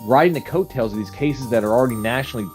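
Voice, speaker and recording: Casual male co-host, mid-pitched. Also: racing, music in the background. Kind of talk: casual commentary chat.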